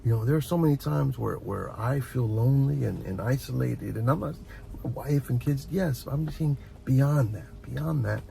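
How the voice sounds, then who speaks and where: A middle-aged man giving an informal, heartfelt advice monologue as a host, speaking earnestly and reflectively, often halting and repeating words. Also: mid-range voice, vocal fry, warm tone, low-quality recording. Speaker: host of a relaxation and advice channel.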